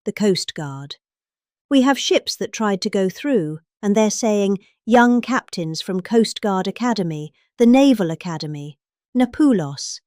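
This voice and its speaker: A woman's voice: mid-range voice, a little mellow, no room sound, clean studio sound. A middle-aged British woman giving a dramatic, satirical reading of a speech in a mock-serious, theatrically emphatic manner. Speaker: presenter reading out a politician's speech.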